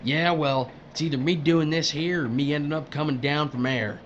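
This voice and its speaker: A man's voice: fairly high pitch, fairly even intonation, moderate pace, muffled recording, slight background noise, lightly acted. A man playing a crude, cynical older worker who strips corpses, needling and folksy, in an audio drama. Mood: defensive, gruff.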